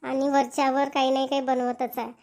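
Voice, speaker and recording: A woman's voice: high voice, little pitch movement, fairly quick, darkish tone. A woman voicing a chatty village wife in a family cartoon, with a Varhadi Marathi accent and a plain, homely manner. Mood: happy, chatty. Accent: Varhadi Marathi, Vidarbha.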